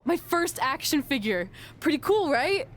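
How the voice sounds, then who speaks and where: A woman's voice: sits high, very animated, unhurried, no room sound. A woman playing a supportive, teasing wrestler friend in a video game, speaking casually with noise in the background. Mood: pleased. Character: upbeat, teasing female wrestler, supportive best friend of hero.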